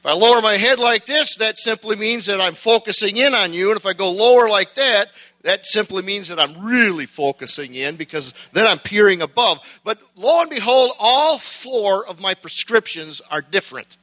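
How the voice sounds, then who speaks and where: A middle-aged man preaching a sermon, folksy and joking at first, then building to shouted fervor. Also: high-pitched, lively intonation, normal speed.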